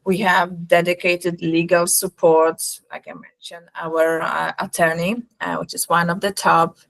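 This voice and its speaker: Low-pitched woman with a Polish accent. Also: little pitch movement, a little slow, gravelly, a bit bright. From Poland. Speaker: recruitment agency representative presenting. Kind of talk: webinar sales presentation.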